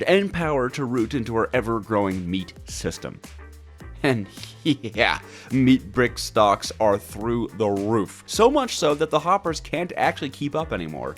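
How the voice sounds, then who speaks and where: A man narrating gameplay from a script in a wry manner, with playfully exaggerated complaints. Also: medium pitch. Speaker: video creator, gamer.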